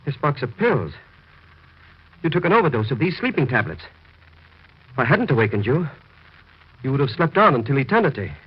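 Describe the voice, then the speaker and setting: A man's voice: mid-range voice, big pitch swings, fairly quick, pauses often, husky. A man playing an alarmed, scolding doctor in a 1940s radio drama, urgent and stern. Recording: dull old radio recording.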